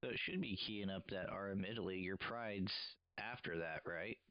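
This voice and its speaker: A very low, smooth male voice with little melodic movement, patiently walking someone through technical settings. He speaks casually, in a slightly dull but close and dry recording. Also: normal speed, no room sound. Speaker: radio operator helping a friend, live stream host. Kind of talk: casual technical troubleshooting talk.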